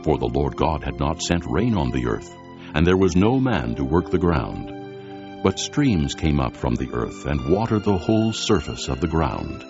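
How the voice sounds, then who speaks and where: A man with a gravelly bass voice giving solemn, measured narration of dramatized scripture. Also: big pitch swings. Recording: dull lo-fi audio with music behind.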